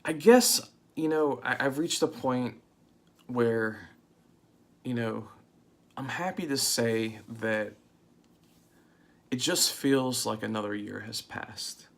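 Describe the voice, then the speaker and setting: A man in his forties talking in a casual, reflective monologue to his channel, halting often with fillers and restarts. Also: medium pitch, vocal fry. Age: 40s. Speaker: vlogger.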